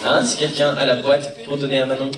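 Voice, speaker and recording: A man jokes and teases his way through a live film presentation, talking straight to the crowd at an average pitch. He sounds distant and echoey, as if from the stage of a big cinema hall. A loud audience murmurs and reacts all around him. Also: level tone, fast, very noisy. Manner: teasing, playful, addresses the crowd directly. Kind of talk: joking live address to a crowd. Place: large cinema auditorium.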